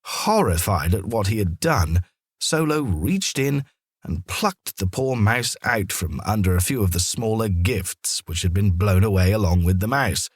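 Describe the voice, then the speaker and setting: A man narrating a children's story from a script in a gentle, expressive storytelling manner. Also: lowish voice, a little slow, breathy edge, no echo, clean studio sound.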